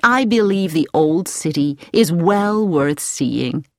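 A woman's voice: on the low side, expressive, no echo, clean studio sound. A woman reading as a chatty middle-aged American lady. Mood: friendly. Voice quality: pleasant voice. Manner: slow, inquisitive and sociable.

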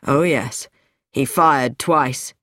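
A woman's voice: extremely low pitch, very slow, fairly bright tone, no room sound, clean studio sound, pitched below the performer's own voice, heavily acted. A woman voicing a curt, authoritative older husband in an audiobook, clipped and dismissive. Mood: irritated.

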